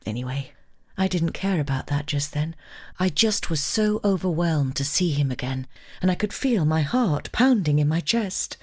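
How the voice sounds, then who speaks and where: A brazen, lovestruck married woman tells of a forbidden romance. Her voice is low and intimate, with a wistful, expressive delivery in the style of a dramatic audiobook. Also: normal speed, slightly rough. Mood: breathless longing. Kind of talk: dramatic first-person audiobook-style reading.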